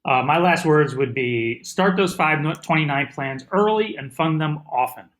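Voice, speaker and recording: A man's voice: a little high, animated, moderate pace, fairly bright tone. A man co-hosting a finance show, making short, matter-of-fact remarks. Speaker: co-host.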